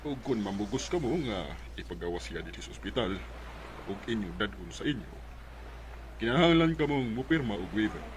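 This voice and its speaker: A man's voice: lowish voice, animated, a little fast, close to an everyday voice. A man with a Filipino Bisaya accent playing a serious, matter-of-fact hospital doctor in a radio drama. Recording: clear noise behind the voice.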